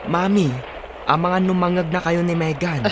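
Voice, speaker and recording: A man's voice: sits high, fairly even intonation, normal speed, close to an everyday voice. Man playing an earnest young husband, noisy background. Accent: Ilocano. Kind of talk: Ilocano radio drama acting.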